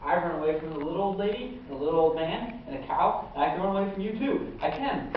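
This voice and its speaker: A woman's voice: fairly low pitch, expressive, quick pace, natural voice. A woman playing a boastful, taunting runaway man made of stinky cheese in readers' theatre. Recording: echoey hall, distant mic, muffled, noisy.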